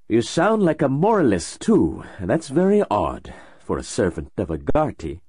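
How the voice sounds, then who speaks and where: A man voicing a calm, enigmatic wealthy middle-aged man in an audiobook, speaking in slow, deliberate pronouncements. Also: medium pitch, slightly rough, no room sound, clean studio sound. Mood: persuasive. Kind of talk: audiobook character voice.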